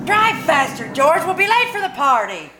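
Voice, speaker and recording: A woman's voice: sits high, big pitch swings, normal speed, bright, forceful tone, full character voice. A woman playing a dramatic, scheming young housewife in a 1940s-style radio comedy, with exaggerated theatrical delivery. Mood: eager, bossy. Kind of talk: 1940s radio comedy acting, live performance. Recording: roomy live stage pickup, noisy.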